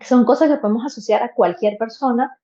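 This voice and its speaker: A woman's voice: a little high, brisk, warm tone, dull audio. A Venezuelan woman in her thirties, a podcast guest telling a personal story earnestly, with many fillers and restarts. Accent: Venezuelan Spanish.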